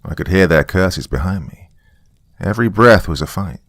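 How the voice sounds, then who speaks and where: Very deep-voiced male storyteller. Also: animated, normal speed, fairly bright tone, no echo, clean studio sound. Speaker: narrator. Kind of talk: dramatic first-person story narration.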